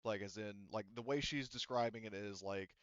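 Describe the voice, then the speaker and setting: A man running a tabletop roleplaying game off the cuff as game master, rambling and halting with frequent restarts and asides. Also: lowish voice, fairly bright tone, smooth, dry recording, muffled recording.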